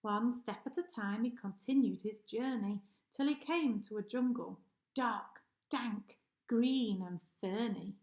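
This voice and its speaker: A woman's voice: fairly high pitch, slow pace, a little mellow. A woman reading a rhyming children's story aloud expressively, like a teacher addressing pupils. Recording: echoey room.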